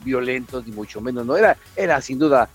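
A man's voice: medium pitch, animated, fairly quick, breathy edge, faint music behind. A man with a Mexican accent co-hosting a radio show, speaking reflectively in a hesitant, wordy and somewhat formal way.

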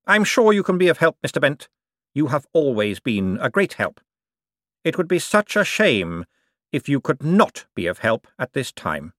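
A man voicing a smug, wealthy bank director in an audiobook, suave and condescending, with drawn-out, menacing hints. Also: mid-range voice, big pitch swings, fairly bright tone, no echo, studio quality, voice pushed up. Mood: veiled threat. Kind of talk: audiobook character dialogue.

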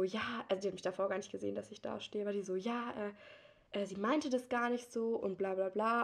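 A young woman co-hosting a podcast, telling a work anecdote in casual chat and laughing often. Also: medium pitch. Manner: laughs often, tells anecdotes with acted quotes. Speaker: podcast co-host.

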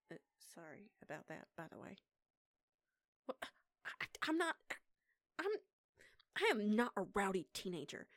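Woman acting a prickly, low-voiced young punk werewolf. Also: no room sound. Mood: calmer, sheepish, then indignant. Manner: sweary, casual, sarcastic, halting when flustered. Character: eighteen-year-old punk lone-wolf werewolf woman, prickly, defiant. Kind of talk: intimate one-sided audio roleplay acting.

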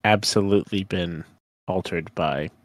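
A man's voice: deep voice, unhurried, no room sound, clean studio sound. A man co-hosting a casual podcast chat, upbeat and rambling with light laughter. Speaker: podcast co-host.

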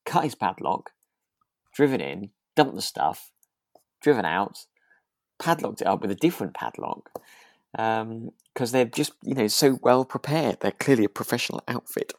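A man's voice: medium pitch, lively intonation, normal speed, no echo. A man co-hosting a podcast, casually discussing a drama and qualifying his points as he goes. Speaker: podcast co-host. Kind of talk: relaxed conversational discussion of a radio drama.

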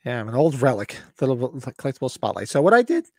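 A man's voice: medium pitch, sing-song, fast talker, a little mellow, no echo, clean studio sound. A man co-hosting a casual podcast about horror collectibles, his voice scratchy and fading as he rambles. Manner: rambling, upbeat, clears his throat. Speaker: podcast co-host.

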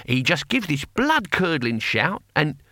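An ancient barbarian warrior played by a male narrator from a comic fantasy audiobook, pitched up and bright. He is proud and defiant, boasting bluntly in rough everyday speech, with a very lively swing to his delivery. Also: a little slow, dry recording, studio quality, heavily acted. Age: elderly. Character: ancient toothless barbarian hero, proud, gruff, defiant old man.

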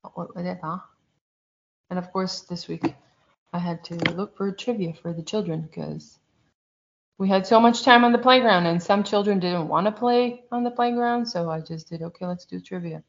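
Woman, low-ish voice, chatty and rambling, lo-fi dull recording. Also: normal speed, gravelly, slight background noise. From outside the United States. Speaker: podcast co-host and church school teacher. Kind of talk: casual chat. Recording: dull lo-fi sound.

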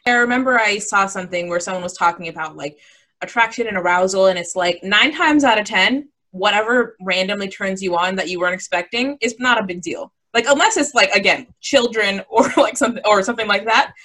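Young woman, mid-pitched voice, jokey, quick to react, casual filler-heavy chat. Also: bright, forceful tone, rough recording. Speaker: panelist.

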